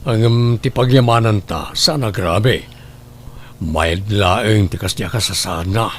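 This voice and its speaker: A man with an Ilocano accent playing a gruff, proud father in a family drama. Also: fairly low pitch, slow, a little hiss or hum. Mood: proud, content. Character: gruff, skeptical, proud father of a scholar athlete. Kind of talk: Ilocano radio-style family drama acting.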